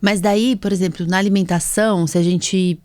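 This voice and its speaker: A woman's voice: medium pitch, steady tone, normal speed, darkish tone, dry recording. A Brazilian Portuguese-speaking woman hosting a podcast, asking questions and chatting in an informal, conversational way. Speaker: podcast host, allergist. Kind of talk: casual interview chat.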